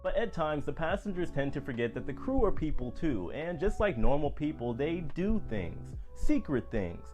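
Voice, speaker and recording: A man's voice: on the high side, very animated, moderate pace, few pauses, a little mellow, faint music behind. A man, a former cruise ship entertainer, narrating a scripted video in a dramatic storytelling way.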